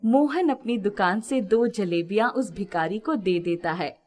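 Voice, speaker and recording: A woman's voice: mid-range voice, a little slow, darkish tone. A woman narrating a scripted moral story as its storyteller.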